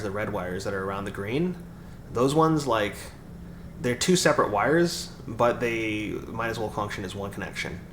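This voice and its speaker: A man with a raspy voice chatting casually on a live stream, rambling through explanations with lots of fillers and restarts. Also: fairly low pitch, fairly bright tone. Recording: echoey room with background noise.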